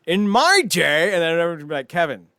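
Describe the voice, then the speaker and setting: A man with a fairly high voice chats casually as a podcast host. His pitch swings widely as he riffs and swears. The sound is very dry. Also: fast, clean tone, dry recording. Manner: animated riffing, swears casually. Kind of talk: casual comedic podcast chat.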